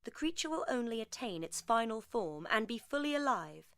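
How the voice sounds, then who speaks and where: A woman's voice: on the high side, sing-song, normal speed, fairly bright tone, no room sound, clean studio sound. A woman reading a scripted narration about creature lore.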